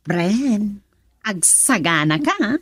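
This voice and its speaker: An actress with an Ilocano accent playing an excitable, gossipy young woman, the loyal best friend, in a radio drama. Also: medium pitch, no room sound, clean studio sound, heavily acted. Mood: cautioning. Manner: exclamatory, teasing, drawn-out delivery.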